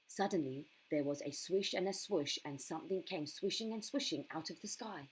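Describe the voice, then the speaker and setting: A woman's voice: fairly low pitch, normal speed, slight background noise, low-quality recording. A woman reading a children's story aloud as a primary school storyteller.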